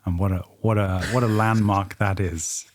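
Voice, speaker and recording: A soft-spoken man in his thirties, a comedian guest chatting casually in a studio, hesitant and dry with lots of ums. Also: lowish voice, steady tone, moderate pace, a crowd in the background. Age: 30s. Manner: hesitant, dry and self-deprecating, with frequent ums. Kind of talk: casual podcast chat.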